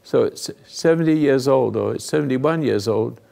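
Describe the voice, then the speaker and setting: An older man hosting a talk, giving rambling, earnest moral teaching to a live group, often dropping into hushed asides. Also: mid-range voice, moderate pace. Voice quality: gravelly, husky. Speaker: host and spiritual counselor.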